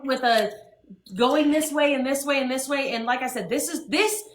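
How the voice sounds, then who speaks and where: A woman's voice: sits high, moderate pace, echoey room. A young woman hosting a livestream, ranting and swearing with heavy emphasis. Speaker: livestream host. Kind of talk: heated livestream talk.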